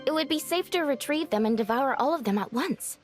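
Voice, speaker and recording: A polite little round creature from a video game, speaks in a high voice. She explains things earnestly and helpfully, with soft music underneath. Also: expressive, fairly quick. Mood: earnest, informative.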